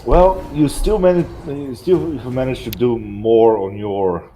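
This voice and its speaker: A man's voice: mid-range voice, measured pace, dark tone, soft background music, rough recording. A man with a nasal voice chatting easygoingly with a friend during a game stream. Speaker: co-host friend. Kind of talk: casual gaming chat.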